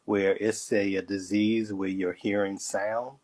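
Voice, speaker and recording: Low-voiced guy, measured, explaining stuff in a casual chat. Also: level tone, a little mellow. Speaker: guest in livestream chat.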